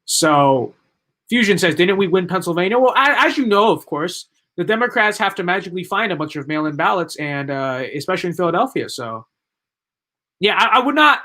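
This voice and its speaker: Young male streamer, slightly high voice, rambling and emphatic, voice going hoarse. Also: big pitch swings, a little fast, sharp tone. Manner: rambling, emphatic, repeats himself. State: losing his voice. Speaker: livestream political commentator. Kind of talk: live-stream commentary.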